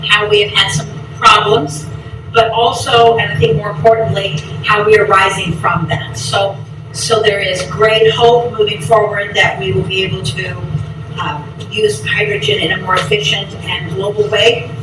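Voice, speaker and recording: A woman chairing a committee, reporting to a public board meeting in an upbeat, effusive way with a loud voice. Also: medium pitch, flat delivery, moderate pace. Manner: upbeat, effusive, restarts often. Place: large echoey meeting room. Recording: echoey, distant, noisy meeting recording.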